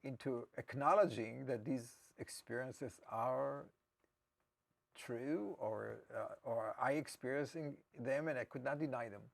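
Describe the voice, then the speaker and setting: Gravelly Israeli man, halting and reflective. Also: mid-range voice. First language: Hebrew. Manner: hesitant and reflective, with frequent restarts. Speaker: guest. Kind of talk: personal storytelling in an interview.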